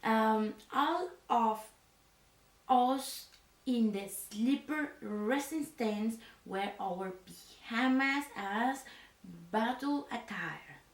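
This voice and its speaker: A woman voicing a female resistance leader in pajamas, speaking softly and haltingly, with many hesitant fillers, in an ASMR roleplay. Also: a little high, reverberant, recorded from a distance. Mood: earnest. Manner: halting, slow, with hesitant fillers and a foreign-sounding phrasing. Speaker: leader of a sleeper resistance unit. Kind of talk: soft-spoken ASMR roleplay.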